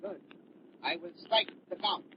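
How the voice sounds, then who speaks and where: An actor playing a commanding, menacing old doctor in theatrical 1940s radio style, speaking formally. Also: male voice, high-pitched, measured pace. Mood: scornful, triumphant. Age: older. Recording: muffled, hissy old radio drama recording.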